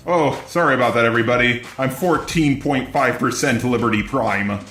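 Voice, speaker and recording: Male robot commentator, synthetic voice, medium pitch, blunt scripted delivery, music underneath. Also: normal speed, a bit bright, echoey room. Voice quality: synthetic robotic text-to-speech voice. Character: robot persona commenting on cartoons. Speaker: video commentator.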